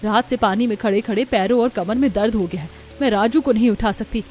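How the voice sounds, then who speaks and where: A woman's voice: on the high side, brisk, darkish tone, soft background music, natural voice. A woman voicing a selfish, sulky grown daughter in a cartoon who talks in an accusing way.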